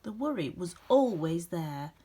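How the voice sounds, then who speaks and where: A woman reading a children's picture book aloud with gentle, expressive storytelling emphasis. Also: on the low side, a little slow, a little hiss or hum. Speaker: storybook reader.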